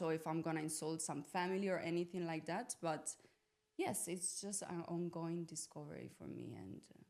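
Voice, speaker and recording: Young woman, from Italy, slightly low pitch, podcast guest, casual personal reflection, informal, searching for words. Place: podcast studio.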